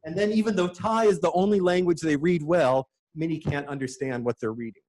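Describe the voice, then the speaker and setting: A man's voice: a little high, brisk, darkish tone, low-quality recording. A middle-aged man giving an enthusiastic, lightly joking conference presentation with slides. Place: conference room.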